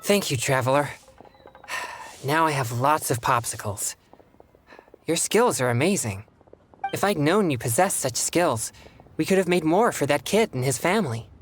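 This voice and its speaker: An actor playing a polite, earnest young exorcist in a video game, with formal, measured phrasing. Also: male voice, on the high side, lively intonation, slight background noise, close to an everyday voice. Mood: earnest, grateful. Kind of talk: video game character dialogue.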